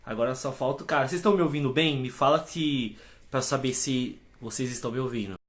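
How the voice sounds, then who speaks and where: A man's voice: mid-range voice, fast talker. A man with a Brazilian accent chatting informally as a guest on an online live stream about his work. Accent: Brazilian Portuguese. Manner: informal, colloquial. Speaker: guest, comics reviewer and page creator. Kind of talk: casual live chat. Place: online video live, remote call.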